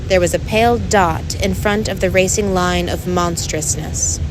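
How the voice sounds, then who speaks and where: A woman narrating a story expressively as an audiobook reader, with clear noise behind her. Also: lowish voice, moderate pace.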